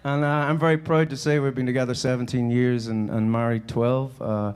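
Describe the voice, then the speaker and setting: A man's voice: mid-range voice, little pitch movement, a little fast, a little mellow, clean tone. A man with a Northern Irish accent from around Belfast, speaking informally and conversationally as he tells personal stories in a live keynote talk. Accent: Northern Irish, Belfast area. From Northern Ireland. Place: on stage before a live audience.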